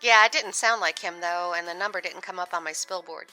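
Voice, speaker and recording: Actress as a female dispatcher under loud music. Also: lowish voice, fairly quick, lightly acted, poor audio. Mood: urgent, businesslike. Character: female small-town police dispatcher, businesslike and insistent. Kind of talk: radio drama acting.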